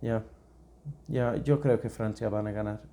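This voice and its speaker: A man from England, a native English speaker with an English accent, co-hosting a podcast in casual chat and speaking halting learner's Spanish with English words slipped in. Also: fairly low pitch, steady tone, creaky, warm tone. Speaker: podcast co-host.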